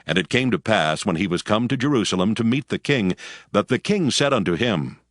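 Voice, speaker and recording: A man narrating scripture from a script in a measured, solemn storytelling manner. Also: on the low side, lively intonation, no echo, studio quality.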